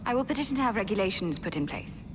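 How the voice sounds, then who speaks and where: An actress playing an earnest, firm Victorian lady nurse in a game, with an English accent and a measured, authoritative manner. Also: mid-range voice, sing-song, natural voice. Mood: resolute. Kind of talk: video game cutscene acting. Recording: long reverb, clear background noise.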